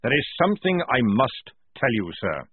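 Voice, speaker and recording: A man voicing a gruff, loud old army sergeant who barks rough, forceful speech. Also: medium pitch, big pitch swings, normal speed, slight background noise, close to an everyday voice. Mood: roaring, defiant. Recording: dry, tinny phone-like sound.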